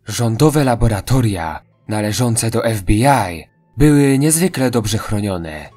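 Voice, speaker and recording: Male narrator for horror audio drama, mid-range pitch, faint music underneath. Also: a little mellow. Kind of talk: scripted horror story narration.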